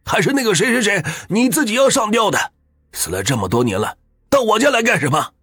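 A man voicing a fierce old husband who shouts and curses to protect his dying wife in a spoken ghost story. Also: high voice, big pitch swings, brisk, husky, no room sound, pitched above the performer's own voice, heavily acted. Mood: furious. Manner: shouting, cursing.

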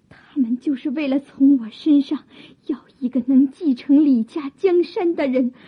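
A woman acting a young, wronged imperial consort in an audio drama, bitter, proud and defiant, with breathy, drawn-out tragic delivery. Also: sits high, dull audio.

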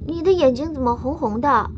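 A woman's voice: high-pitched, fairly quick, lo-fi sound, background noise, close to an everyday voice. An actress playing an innocent, curious little girl full of sweet wonder in a radio drama. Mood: sleepy, curious.

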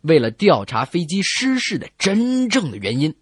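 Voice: medium pitch, huge pitch swings, moderate pace, slightly rough, studio quality. A comedy radio host telling scripted jokes as banter, with theatrical exclamations and questions. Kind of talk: scripted comic banter and joke storytelling. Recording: roomy echo with music behind.

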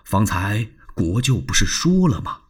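Man doing a careful polite lord, dodging questions, husky voice. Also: on the low side, sing-song, unhurried, exaggerated voice. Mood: placating. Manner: polite, guarded, placating. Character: cautious, courteous male lord, evasive and wary.

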